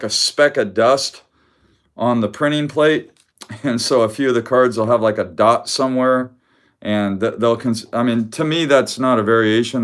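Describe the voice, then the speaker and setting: Male streamer at home, loud carrying voice, laughs a lot, chatty and unscripted. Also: mid-range voice. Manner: loud voice that carries, laughs often, rambling with restarts. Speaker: card collector hosting a live stream. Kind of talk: casual live-stream chat. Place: home room with weak Wi-Fi.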